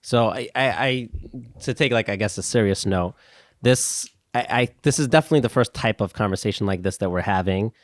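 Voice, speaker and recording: A man's voice: lowish voice, brisk, hardly any pauses, no room sound, clean studio sound. A man hosting a podcast in a casual, conversational way, somewhat hesitant and repeating words as he goes. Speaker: podcast host.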